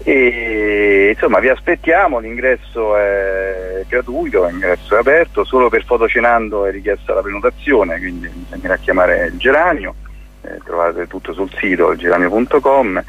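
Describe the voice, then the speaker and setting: A man, explaining an event programme in an informal radio interview, often searching for words in a halting way. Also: on the low side, breathy edge, muffled recording. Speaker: interview guest, photography teacher and event organiser.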